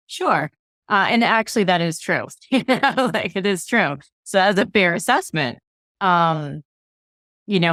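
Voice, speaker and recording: Middle-aged woman, low voice, dry home-office sound, unhurried author guest. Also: no room sound. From Massachusetts. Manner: reflective, relaxed storytelling with soft laughs. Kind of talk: casual interview chat. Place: home room with bookshelves. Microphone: video call.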